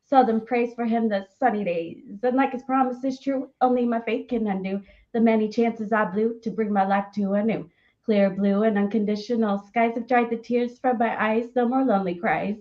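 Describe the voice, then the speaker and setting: A woman reciting a rap in a steady, declaiming rhythm. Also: on the high side, moderate pace, lo-fi sound.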